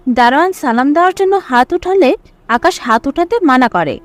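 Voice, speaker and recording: A woman narrates a scripted story in a high voice with lively swings of intonation. Faint music plays behind her. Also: fairly quick.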